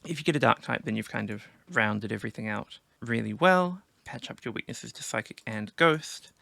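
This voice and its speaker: A man going hoarse from a sore throat, giving casual commentary on his team draft and thinking aloud with many restarts. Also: medium pitch, moderate pace, bright, forceful tone, dry recording. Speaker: gaming video creator.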